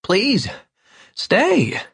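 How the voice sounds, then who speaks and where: A man's voice: sits high, huge pitch swings, very slow, creaky, a bit bright, slightly rough, dry recording, clean studio sound, voice pushed up, exaggerated voice. A man voicing a drunk, disheveled middle-aged man who rambles, slurs and swings between overly polite and maudlin. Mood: tipsy, needy. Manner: slurred, wandering, overly polite then maudlin.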